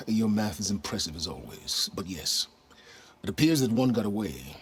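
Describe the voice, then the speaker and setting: A low-voiced, condescending older schemer. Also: male voice, big pitch swings. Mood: dry, grave. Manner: formal, measured, condescending. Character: shrewd, imperious older man, a calculating scholarly strategist. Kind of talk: video game cutscene acting. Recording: loud music behind.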